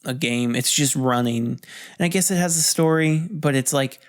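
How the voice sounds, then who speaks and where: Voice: mid-range voice, normal speed. A podcast co-host bantering casually, rambling in an offhand, hedging way with casual swearing.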